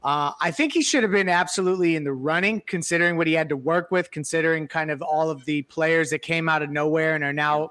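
Bright, higher-voiced male sports panelist. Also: no echo. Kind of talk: casual sports analysis.

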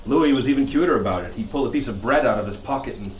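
A man's voice: medium pitch, lively intonation, fast, natural voice. An actor playing a grim, haunted lighthouse keeper, telling his story with dramatic suspense in an audio horror drama. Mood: grim recollection. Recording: echoey, noisy radio play sound.

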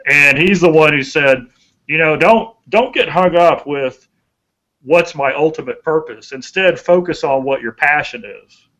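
A man's voice: a little high, a little slow, fairly bright tone, poor audio. A man giving conversational motivational advice as a podcast co-host, in a folksy, earnest way, repeating phrases for emphasis.

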